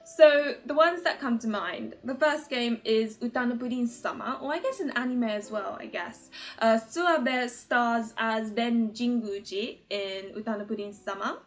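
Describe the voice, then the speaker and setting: A woman hosting her own video, chatting casually to viewers in a giggly, informal, rambling fangirl manner. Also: fairly high pitch. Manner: giggly, gushing fan talk, informal and rambling. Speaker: host of a video channel about otome games.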